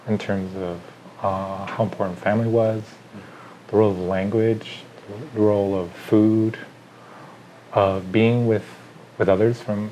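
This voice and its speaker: A middle-aged man from Chile talks through his ideas as an artist in an interview. His voice sits low, and he pauses often with fillers as he lists his thoughts one by one. A faint hum of room ambience runs behind him. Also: dark tone, poor audio.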